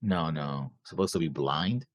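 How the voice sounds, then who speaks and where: A man's voice: a bass voice, unhurried, dry recording. A man co-hosting a reaction show in casual discussion.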